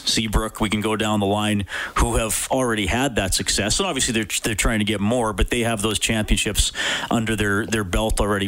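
A man's voice: fairly low pitch, fairly bright tone, low-quality recording. A man hosting a sports radio show, putting questions to guests and presenting segments.

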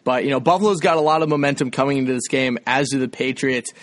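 A man's voice: mid-range voice, steady tone, fast, fairly bright tone, dry recording. A man hosting a sports podcast, interviewing guests in an informal, conversational way with plenty of fillers.

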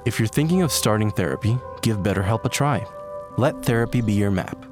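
A man's voice: on the low side, animated, fairly quick, music in the background. A man reading scripted sponsor copy as an announcer. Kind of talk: scripted ad read.

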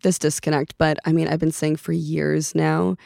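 A young adult woman from Norway, a native Norwegian speaker, chatting as a podcast guest in a relaxed, meandering way with frequent fillers. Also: deep voice, moderate pace, vocal fry, no room sound, clean studio sound. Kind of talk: casual chat.

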